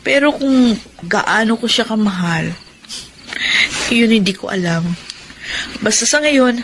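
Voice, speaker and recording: A woman's voice: fairly high pitch, expressive, slow. Emphatic young Filipina girlfriend, faint noise. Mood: earnest, conflicted. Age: young adult. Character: ambitious, hesitant young factory worker, a girlfriend. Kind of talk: Filipino radio drama acting.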